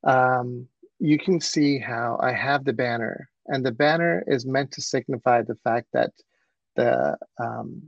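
A man whose first language is Persian, giving an earnest webinar presentation as a mathematics educator, often pausing with um and uh. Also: mid-range voice, animated, gravelly, no echo. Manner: earnest, with frequent um and uh fillers. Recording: dull, lo-fi video call audio.

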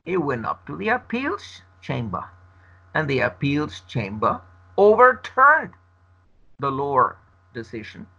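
A man whose first language is Spanish gives a long interview answer, arguing in a measured, emphatic way. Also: mid-range voice, vocal fry, sharp tone, breathy edge. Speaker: interview guest. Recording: dull lo-fi recording.

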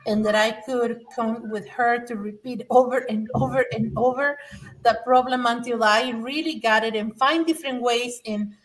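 A woman's voice: a little high, steady tone, normal speed, slight background noise. Earnest woman, slightly distant. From Mexico. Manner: earnest, emphatic, with frequent restarts. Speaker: guest, former adult learner. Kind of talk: personal testimony in conversation.

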